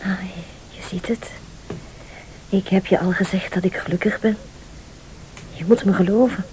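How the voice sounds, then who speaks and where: An actress playing a devoted, defiant wife in a radio play, earnest and emotional, often sinking to a breathy near-whisper. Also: female voice, medium pitch, steady tone, moderate pace, a little mellow, lightly acted, low-quality recording. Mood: torn, pleading. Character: devoted, defiant wealthy wife caught in a plot.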